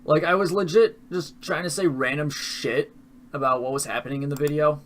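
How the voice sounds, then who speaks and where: A man's voice: fairly high pitch, a little fast, fairly bright tone. A young man casually commenting with profanity, mocking his own old videos.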